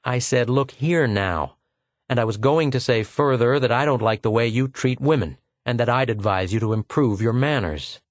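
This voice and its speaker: A cool stranger stands his ground with slow, measured words, as a male narrator plays him. He speaks only slightly above the reader's own voice. The recording is dry and close. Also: mid-range voice, dry recording, lightly acted. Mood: defiant. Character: cool, defiant adult man.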